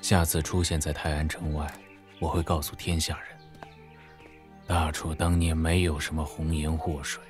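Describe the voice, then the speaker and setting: A very low, evenly pitched voice for a grave middle-aged scholar-swordmaster. He speaks in a hushed, measured, resolute way, as in a martial-arts radio drama, with music underneath. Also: little pitch movement. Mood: grave resolve. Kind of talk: Chinese wuxia audio drama acting.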